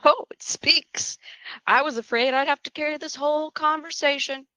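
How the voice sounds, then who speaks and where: A woman's voice: high-pitched, steady tone, normal speed, sharp tone, no echo. Actress playing a chatty wry young woman on a psych ward, flippant n teasing, really dry sound. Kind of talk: acted table read of a screenplay.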